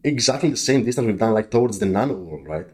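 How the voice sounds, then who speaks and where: A man's voice: on the low side, expressive, a little mellow. A man from Greece in his thirties with a Greek accent, chatting as a podcast guest and often restarting his sentences. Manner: halting, many restarts and fillers. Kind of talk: casual interview chat.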